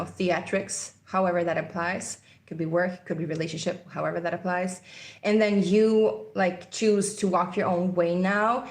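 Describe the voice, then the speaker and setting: Swedish-accented woman, casual tarot reader, meandering and repetitive, mid pitch, roomy echo. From Sweden. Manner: meandering, intuitive, repeats key phrases. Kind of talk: casual tarot card reading.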